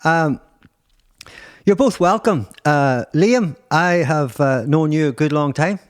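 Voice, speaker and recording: This is a Northern Irish man hosting a casual chat. His voice sits a little high for a man. He rambles and hesitates as he puts his questions, with a bantering, informal manner. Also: animated, slow pace. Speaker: podcast host.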